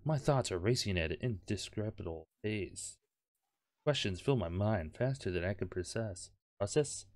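A man's voice: on the low side, expressive, normal speed, darkish tone, no echo, clean studio sound. A man doing let's play commentary, reading game text aloud with crude jokes and the odd stumble. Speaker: gameplay host.

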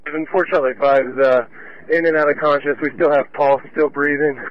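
A man working as a police officer, making brisk calls over the radio during an emergency. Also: on the high side, level tone. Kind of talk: urgent radio traffic. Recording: lo-fi radio transmission.

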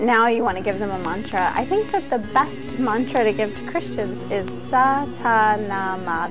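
A woman's voice: mid-range voice, rough recording. A woman giving an informal instructional talk as a yoga teacher, conversational and earnest.

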